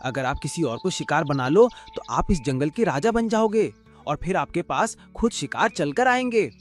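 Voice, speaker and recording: A man's voice: fairly high pitch, expressive, moderate pace, close to an everyday voice. A man doing a clever cartoon donkey, earnest and a little sly, with a slightly raised voice. Light music runs underneath. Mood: flattering. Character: clever loyal male donkey named Lucky. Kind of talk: cartoon voice acting.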